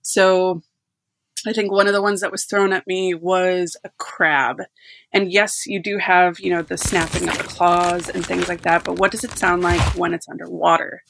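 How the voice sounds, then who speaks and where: A woman's voice: fairly low pitch, flat delivery, normal speed, creaky, background noise. A young woman, a podcast sound designer, chatting casually and laughing easily.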